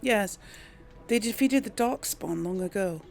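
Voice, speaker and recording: A woman role-playing a young, fierce, headstrong noble daughter in a fantasy game, with a creaky voice. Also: mid-range voice, lively intonation, unhurried. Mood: curious, assured. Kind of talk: role-played game dialogue.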